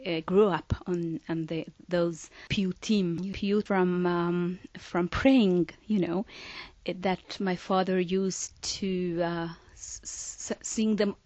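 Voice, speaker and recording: A woman from Iran, a singer being interviewed, who speaks haltingly and often stops to search for words. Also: fairly low pitch, fairly even intonation, darkish tone, no echo. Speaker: singer guest.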